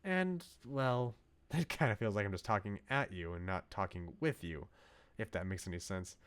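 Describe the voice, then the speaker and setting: A man's voice: lowish voice, animated, moderate pace, fairly bright tone, clean tone, voice pushed down. A man voicing an anxious, frustrated young woman from a visual novel in an earnest, pleading dramatic reading. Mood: weary, earnest. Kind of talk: dramatic reading of game dialogue. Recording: game music behind the voice.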